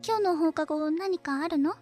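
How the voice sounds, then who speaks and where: A woman acting a shy, gentle, hesitant schoolgirl in a voice drama, speaking softly. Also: extremely high pitch, normal speed, soft background music. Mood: friendly. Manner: hesitant, soft-spoken.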